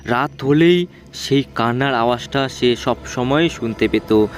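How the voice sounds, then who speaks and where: A man's voice: medium pitch, measured pace. A man narrating a ghost story for a cartoon in simple, informal, repetitive spoken language. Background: background music.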